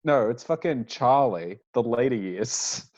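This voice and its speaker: A man's voice: mid-range voice, animated, a little slow, darkish tone. A young Australian man from Victoria in casual podcast banter, rambling, repeating himself and swearing often. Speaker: podcast co-host. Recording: slightly dull lo-fi recording.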